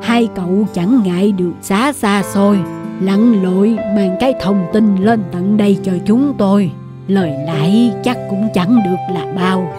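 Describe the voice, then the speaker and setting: A woman voicing a kindly old male village elder in a cartoon folk tale, speaking in an unhurried, reassuring way. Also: medium pitch, over music, close to an everyday voice. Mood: grateful.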